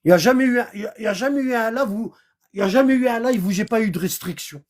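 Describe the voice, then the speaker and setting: A livestreamer in casual commentary, explaining scripture in an animated way and often checking in with short questions to the listener. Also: medium pitch, very fast. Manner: animated, acts out little dialogues, keeps checking in with "hein?" and "d'accord?". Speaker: livestreamer explaining Quran verses.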